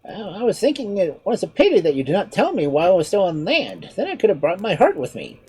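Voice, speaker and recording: A woman's voice: mid-range voice, sing-song, fast, dark tone, breathy edge, voice pushed up, heavily acted. A woman voicing a clever, playful male monkey in a storybook reading, with a chirpy, teasing manner. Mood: coaxing.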